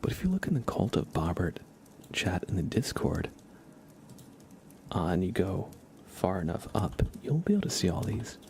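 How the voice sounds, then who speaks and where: A very low, crackly male voice speaks softly, half whispering at times, in a casual ASMR stream. He rambles along informally with small halting pauses. There is light noise behind him, with keyboard typing. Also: sing-song, gravelly. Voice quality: soft, hushed, partly whispered. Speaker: livestream host.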